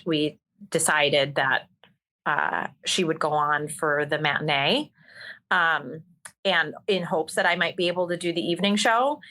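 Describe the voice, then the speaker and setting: A woman with a bright, forward voice sitting lowish in pitch for her. She talks as an interview guest in a loose, chatty way, full of ums, likes and little restarts. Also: low-quality recording. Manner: chatty, many fillers and restarts. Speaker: stage actor and singer, guest. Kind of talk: casual conversational storytelling.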